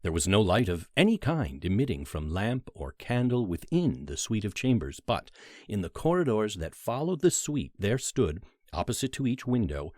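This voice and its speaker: A man narrating a classic horror story as a dramatic literary audiobook, with theatrical, deliberate phrasing and emphatic pauses. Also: on the low side, big pitch swings, a little mellow, dry recording, studio quality.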